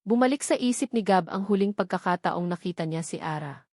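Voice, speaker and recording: A woman's voice: fairly low pitch, expressive, fairly quick, darkish tone, no echo, studio quality. A Filipino woman reading a scripted romance story as a dramatic narrator.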